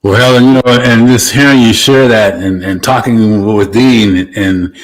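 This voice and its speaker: Male host, slightly low voice; loose, rambling questions over a video call. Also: unhurried, low-quality recording. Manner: rambling, many restarts and fillers. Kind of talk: casual interview chat.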